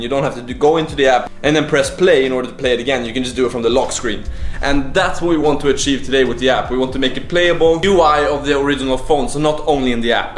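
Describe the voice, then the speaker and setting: Casual man vlogging in an echoey room. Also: a little high. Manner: informal, slangy, thinking aloud. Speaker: host of a startup coding video diary. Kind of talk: casual vlog talk. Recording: echoey room, faint music behind.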